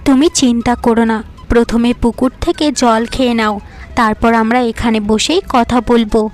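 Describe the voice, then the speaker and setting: Lady doing a kind sad goat in a cartoon, soothing words, highish voice, music underneath. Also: steady tone, normal speed, a little mellow, natural voice. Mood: gentle, welcoming. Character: sad, gentle talking goat befriending a lost girl. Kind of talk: Bengali fairy-tale cartoon dialogue.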